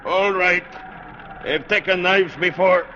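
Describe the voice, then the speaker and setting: Slightly noisy, muffled old radio sound carries a wounded deckhand. His high, very sharp and forceful voice stays stoic, toughing it out in 1940s radio drama fashion. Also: slow, full character voice. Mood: strained, stoic. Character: stoic wounded male deckhand bravely dismissing his injury. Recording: muffled vintage radio, faint noise.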